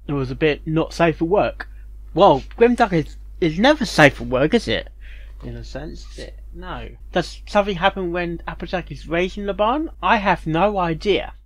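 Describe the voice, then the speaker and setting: A man giving casual, rambling reaction commentary, speculating aloud and exclaiming excitedly. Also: fairly high pitch, no echo. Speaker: reaction video host.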